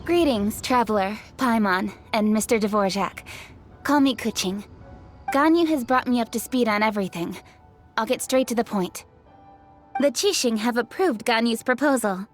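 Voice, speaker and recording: A woman's voice: fairly high pitch, moderate pace, natural voice. A woman playing a poised, businesslike young official in an anime video game, speaking formally and with composure. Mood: composed, formal. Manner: formal, crisp phrasing, composed. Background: music.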